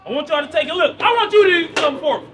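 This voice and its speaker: A man preaching loudly outdoors on a city street. He quizzes the crowd with questions and then answers them himself. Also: very high voice, expressive, fast talker. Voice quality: loud and projecting, near yelling. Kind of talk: loud open-air street preaching. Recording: far from the mic with street noise.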